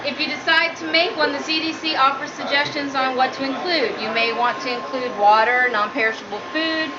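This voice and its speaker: A woman giving a humorous prepared speech as a student, with a nervous, giggly delivery. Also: a little fast. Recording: muffled low-quality audio, noticeable background noise.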